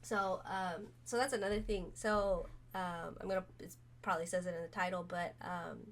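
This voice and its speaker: A woman streamer chatting casually to camera, hoarse from being sick, rambling with many pauses and fillers. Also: fairly low pitch, fairly even intonation, slight background noise. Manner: rambling, many ums, soft-spoken. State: hoarse, recovering from illness.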